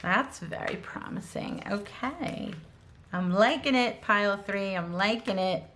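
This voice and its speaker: A woman talking through a tarot reading at an easy middle pitch, her voice a little hoarse. She gushes over each card with warm enthusiasm, often pausing with ums and starting her sentences over. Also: a little hiss or hum. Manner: gushing, enthusiastic, many ums and restarts. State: slightly hoarse. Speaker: tarot reader.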